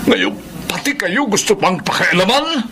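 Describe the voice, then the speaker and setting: A man's voice: high-pitched, expressive, a little slow, pushed and bright, a little hiss or hum, heavily acted. A man acting a sympathetic but resigned middle-aged uncle in a Filipino radio drama, sighing and commiserating. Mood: indignant sympathy.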